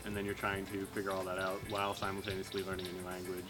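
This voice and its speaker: A young man with an American accent gives an informal volunteer testimonial. His voice is on the low side, and music plays clearly behind him. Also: completely flat delivery, quick pace. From Massachusetts, United States. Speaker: Peace Corps volunteer. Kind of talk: casual interview testimonial.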